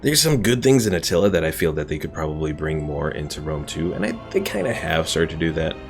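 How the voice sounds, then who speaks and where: A man's voice: rumbling low, lively intonation, fast talker, soft background music. A man casually chatting with viewers while streaming a strategy game, laid-back and rambling. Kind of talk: casual live stream commentary.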